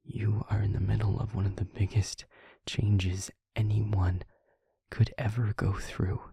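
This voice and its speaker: A man playing a gentle, devoted vampire boyfriend in an intimate audio roleplay, speaking tenderly and earnestly in a husky half-whisper. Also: lowish voice, flat delivery, dry recording, studio quality, full character voice. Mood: earnest, loving. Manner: slow, halting, tender, earnest delivery. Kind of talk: intimate audio roleplay, ASMR-like scripted acting.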